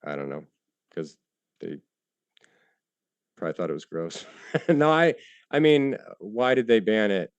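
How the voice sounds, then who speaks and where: A low-pitched man speaking in a debate, loose and informal. He stumbles through answers with frequent fillers and restarts and swears offhandedly. The recording is dry and close. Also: sing-song, measured pace, pauses often, clear, resonant tone, no echo, dull audio. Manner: hesitant, many fillers and restarts, casual swearing. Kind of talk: live debate.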